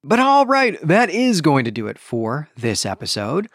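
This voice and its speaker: A man's voice: a little high, wildly swooping pitch, moderate pace, a bit bright, dry recording, studio quality. A man hosting a book review podcast, speaking in an emphatic, opinionated and conversational way. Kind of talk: podcast book review, reading passages and commenting.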